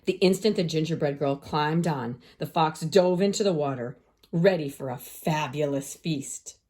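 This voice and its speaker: A woman teacher narrating a children's story aloud, expressive and carefully phrased for young listeners. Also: low-pitched, normal speed.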